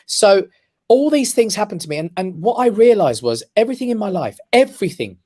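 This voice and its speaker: A man's voice: high voice, very animated, brisk, darkish tone. A man, an emphatic and passionate radio guest. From England. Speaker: guest, comedy club owner. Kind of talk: casual radio interview. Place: radio studio.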